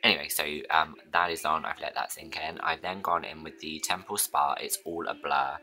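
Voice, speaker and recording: A man with a husky, hoarse voice chatting in a rambling way through his get-ready-with-me routine, often dropping to a whisper. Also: very deep voice, steady tone, moderate pace, pushed and bright. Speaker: beauty vlogger.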